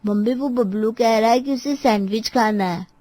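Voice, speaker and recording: Woman playing a young child, raised pitch, family drama story, close dry recording. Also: moderate pace, mellow, dry recording, close to an everyday voice. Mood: asking. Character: young child making food requests to mother.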